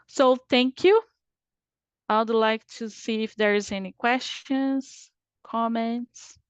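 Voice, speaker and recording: A woman's voice: a little high, steady tone, slow, smooth, dry recording, dull audio. A Brazilian woman, whose first language is Portuguese, gives a technical conference presentation over a video call, explaining carefully with frequent "uh" fillers.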